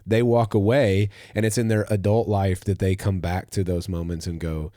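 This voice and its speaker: Low-voiced pastor hosting a casual chat. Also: male voice, normal speed, no room sound, clean studio sound. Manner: relaxed, thoughtful, trails off softly at the ends of phrases. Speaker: pastor and podcast host. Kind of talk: casual conversational podcast.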